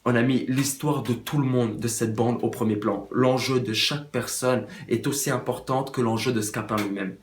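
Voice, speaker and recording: A young man, a theatre director, earnestly pitching his company's project in an interview. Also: steady tone, normal speed, few pauses. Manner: earnest, persuasive. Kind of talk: promotional interview. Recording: echoey room.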